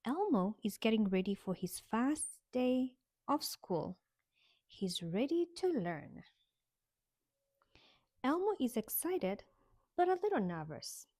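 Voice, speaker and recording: A woman reading a children's storybook aloud with expressive, sing-song delivery aimed at young children. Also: a little high, takes it slowly, pauses often. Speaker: storybook reader.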